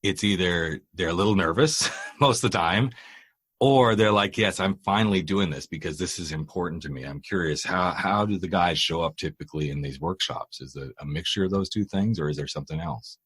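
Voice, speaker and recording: A man's voice: deep voice, brisk, a bit bright, husky. A man hosting a podcast interview, asking long conversational questions. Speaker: podcast host.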